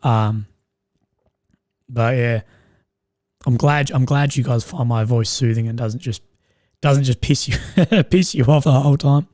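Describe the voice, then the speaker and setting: A young man with a strained, slightly croaky voice, rambling and swearing as he chats with viewers on a live stream. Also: medium pitch, a little slow, pauses often, darkish tone, dry recording, clean studio sound. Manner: rambling, swears often, laughs at own jokes. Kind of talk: casual live stream chat.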